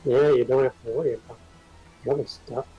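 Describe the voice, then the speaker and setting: Husky, mellow man chatting casually. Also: medium pitch, fairly even intonation, brisk, dull audio, soft background music. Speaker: stream companion advising the player. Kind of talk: casual chat.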